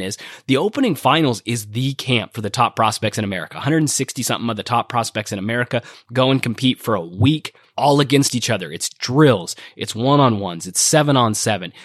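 Male podcast host, nasal from a cold, emphatic and energetic delivery, middle-pitched voice, dry close-mic sound. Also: no echo. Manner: energetic, emphatic, repeats phrases for stress. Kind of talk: recruiting news podcast monologue.

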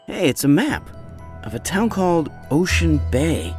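A bewildered, determined man investigating a mystery in a drama trailer. His voice is fairly high and swings widely, over faint music. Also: very animated, unhurried, a little mellow. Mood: puzzled. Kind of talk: audio drama trailer acting.

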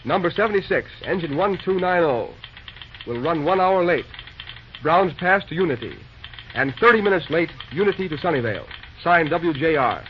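A man playing a brisk, busy railroad dispatcher in an old-time radio drama, talking in clipped, folksy railroad slang. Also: fairly high pitch, animated, close to an everyday voice. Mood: businesslike. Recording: muffled, noisy old radio recording.

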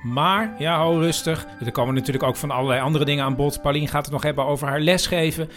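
A man's voice: fairly high pitch, steady tone, fast talker, fairly bright tone, faint music behind. A man hosting a podcast with informal scripted narration. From Netherlands. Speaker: podcast host.

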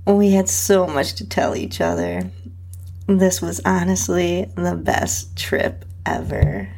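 A woman host reading a first-person erotic story in an intimate, sensual, drawn-out and breathy way. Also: lowish voice. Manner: breathy, sensual, drawn-out delivery with soft moans. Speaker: podcast host and narrator reading own story.

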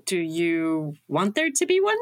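A woman's voice: fairly low pitch, sing-song, measured pace, no room sound. A woman playing a cool, skeptical revolutionary conspirator in an audio drama.

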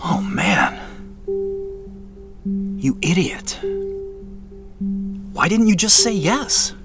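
Audio drama role: hesitant, awkward professional man, slow, halting delivery with expressive range. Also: sits high, loud music behind the voice, exaggerated voice. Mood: agitated, then quiet. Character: Joseph, hesitant, apologetic middle-aged professional man with dad jokes. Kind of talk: naturalistic audio drama acting.

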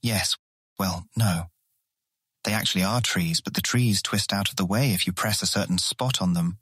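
Proud, lower-voiced guild guide. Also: male voice, fairly bright tone, slightly rough, dry recording, studio quality. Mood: explaining. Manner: measured, proud, explanatory. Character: proud, patient male guild member showing a newcomer around. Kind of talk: full-cast fantasy audiobook acting.

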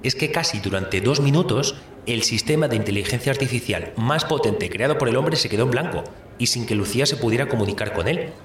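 Persistent journalist played by a man, mid-pitched, inquisitive, for an audio drama press scene in an echoey room. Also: lively intonation, fairly quick, close to an everyday voice. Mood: insistent. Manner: hesitant openings, then insistent questioning. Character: persistent, inquisitive male space-podcast journalist. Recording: echoey room with faint noise.

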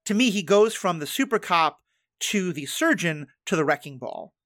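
A podcast host at a middle pitch, recorded close and dry with no room sound. The delivery is animated, speeding up in bursts and doubling back on phrases mid-thought. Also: dry recording. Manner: animated, fast bursts, thinking aloud with restarts. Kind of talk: conversational interview.

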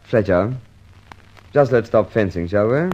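An actor playing a dry, shrewd police inspector in an old radio drama, questioning in a wry, probing way. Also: male voice, lowish voice, animated, unhurried, darkish tone, dull audio. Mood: probing, suspicious.

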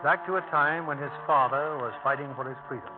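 A man narrating a scripted 1950s radio drama as its host, measured and theatrical. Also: on the high side. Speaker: producer and narrator. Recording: tinny old radio broadcast. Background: music.

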